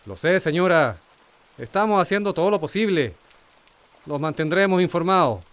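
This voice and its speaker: A man's voice: high-pitched, lively intonation, moderate pace, fairly bright tone. A man playing a provincial governor in a radio drama, addressing townspeople in a formal, oratorical way. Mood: official, placating. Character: male provincial governor placating anxious townspeople.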